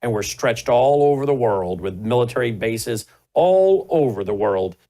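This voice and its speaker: A man's voice: mid-range voice, expressive, measured pace. Male talk host, middle-aged, emphatic, pushes hard on rhetorical questions. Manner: emphatic, rising to forceful questions. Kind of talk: political commentary and panel hosting.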